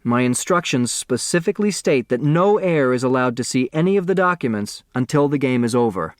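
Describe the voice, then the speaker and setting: A male reader plays an officious storybook lawyer who is easily flustered. He talks formally and stumbles a little, with a very mobile pitch in a dry recording. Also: expressive, fairly quick, no echo, lightly acted. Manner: formal, stumbling over words.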